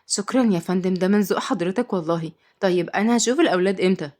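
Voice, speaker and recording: A sweet young nanny, voiced by a woman reader, playful, polite and coaxing in a storybook tale. She speaks quickly and expressively, with tenderness. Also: on the low side, darkish tone, natural voice.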